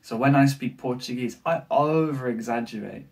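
A man's voice: medium pitch, normal speed, gravelly, far from the mic. English man coaching, slightly off-mic. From England. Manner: animated, gestures with hands, many fillers. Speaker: language coach. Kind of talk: to-camera language tips video. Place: small room with bookshelf, indoors.

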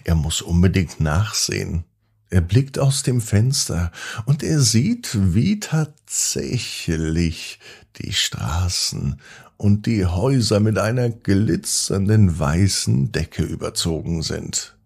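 Low-voiced man, lively bedtime storyteller. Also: creaky, husky. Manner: gentle, drawn-out emphasis, addressing young listeners. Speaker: children's podcast host and storyteller. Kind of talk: expressive bedtime story narration for children.

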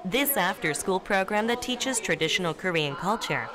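A woman reading a documentary narration in an even, formal manner. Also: mid-range voice, moderate pace, crowd noise. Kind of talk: scripted narration. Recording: voice-over with music underneath.

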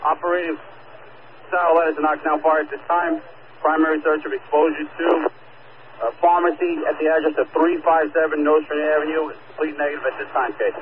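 A man gives terse firefighter field reports over two-way radio. He talks very quickly at a slightly raised pitch, procedural and clipped. The channel is badly noisy. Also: little pitch movement. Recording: very noisy, tinny fire radio transmission.

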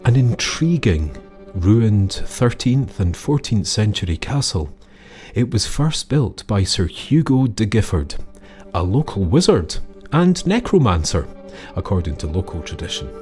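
A man narrating scripted folklore in a measured, theatrical way, with dramatic pauses. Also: lowish voice, very animated, darkish tone. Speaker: storyteller and host. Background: music under the voice.

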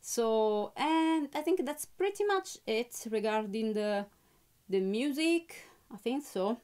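Chatty young woman with a clear, bright voice. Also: a little high, lively intonation, slow pace. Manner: chatty, with frequent fillers and restarts. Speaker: musician and channel host. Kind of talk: casual live-stream chat. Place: small home music studio, indoors.

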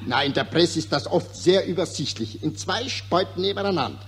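Man doing smug lecturing patriot, high animated voice, old radio satire. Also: a little fast, natural voice. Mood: smug, emphatic. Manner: assertive, lecturing. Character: know-it-all patriot, man parroting war propaganda. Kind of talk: 1940s radio satire acting. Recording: noisy old 1940s radio recording, hum.

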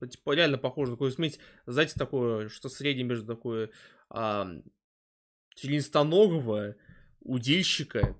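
A man's voice: mid-range voice, moderate pace. A young man giving casual reaction commentary as a streamer, close to a microphone indoors. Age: young adult. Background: faint soundtrack of the cartoon.

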